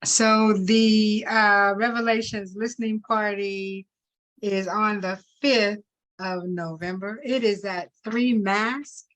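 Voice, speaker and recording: A woman hosting a talk show over a video call, upbeat and lively as she chats with her guest. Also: mid-range voice, takes it slowly, poor audio. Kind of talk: casual chat. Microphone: video call mic.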